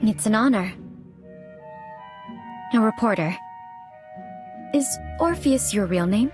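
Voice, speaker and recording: A woman's voice: a little high, expressive, takes it slowly, darkish tone. A woman playing a polite, observant female reporter in video game cutscene dialogue. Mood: polite, inquisitive. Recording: music behind the voice.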